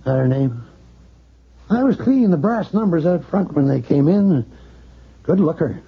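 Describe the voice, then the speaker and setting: An actor in a 1980s radio drama playing a chatty, gossipy male apartment superintendent with a gravelly, raspy voice. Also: fairly high pitch, lively intonation, moderate pace, exaggerated voice. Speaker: building superintendent. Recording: dull lo-fi radio recording.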